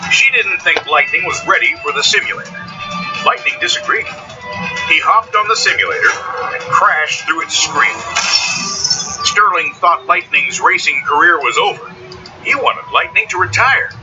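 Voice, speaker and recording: A man narrating a scripted children's story in a dramatic, expressive way. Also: high voice, moderate pace. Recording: dull sound under loud music. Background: loud music bed.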